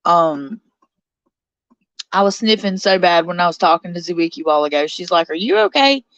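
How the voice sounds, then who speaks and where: A woman's voice: fairly low pitch, animated, normal speed, dry recording, lo-fi sound. A woman in her forties hosting a casual live stream from a cold bedroom, chatty and a little stuffed up from her sinuses, reading out viewer comments. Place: cold bedroom under a blanket.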